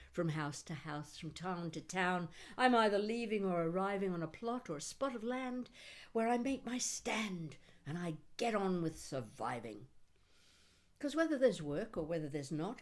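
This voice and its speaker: A mature woman reading her own poetry expressively, with deliberate phrasing and dramatic pauses. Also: on the low side. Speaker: poet reading own work.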